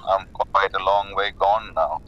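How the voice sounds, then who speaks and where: Bright-voiced Sri Lankan man, muffled call. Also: steady tone. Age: 40s. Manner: hesitant, halting, repeats words. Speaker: caller, lay Buddhist practitioner. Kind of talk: casual conversation about personal spiritual path. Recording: muffled phone call with rumbling noise.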